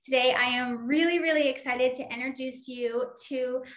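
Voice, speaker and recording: Female interview host, welcoming and upbeat; a bit high-pitched, recorded in a reverberant room. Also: fairly even intonation, normal speed, a bit bright. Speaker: podcast host. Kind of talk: podcast interview hosting.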